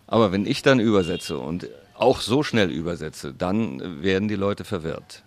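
A man interpreting an interview into German as a voice-over, speaking slowly and deliberately. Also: sits low, lively intonation. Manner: slow, deliberate, careful phrasing.

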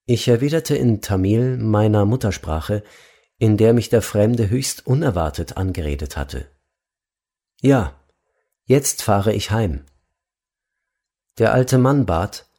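A man reading a devotional book aloud in a calm, measured way. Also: on the low side, slightly rough. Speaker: narrator.

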